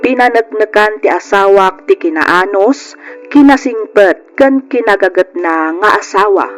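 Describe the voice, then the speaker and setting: A male actor playing an earnest married man narrating his life in a letter for old radio drama, measured and heartfelt. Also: high-pitched, fairly bright tone.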